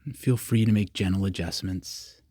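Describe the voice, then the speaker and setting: A man leading a guided meditation, giving calm, simple, repeated instructions with long pauses between them. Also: low voice, breathy edge, no room sound, clean studio sound. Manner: calm, repetitive, unhurried cues with long pauses.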